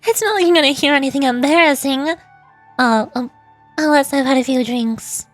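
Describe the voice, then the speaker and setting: A woman's voice: sits high, moderate pace, dry recording, faint music behind, lightly acted. A woman voicing a youthful-sounding, long-lived female shaman from a game, proud and self-assured but easily flustered. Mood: bashful.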